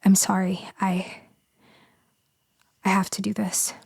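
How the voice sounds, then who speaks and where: A woman playing a gentle vampire in an intimate ASMR roleplay, speaking softly and breathily, often in a whisper, with tender, reassuring, hesitant delivery. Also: mid-range voice, little pitch movement, slow, creaky, slightly rough, dry recording, heavily acted. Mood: anxious, soothing. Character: gentle female vampire soothing someone she saved.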